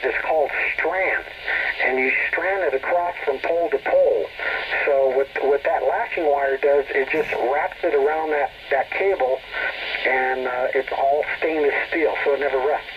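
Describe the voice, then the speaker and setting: A man chatting technically as an amateur radio operator over two-way radio, halting and repeating words as he explains. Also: medium pitch. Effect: two-way radio transmission. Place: heard over a radio link. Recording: dull, noisy two-way radio signal.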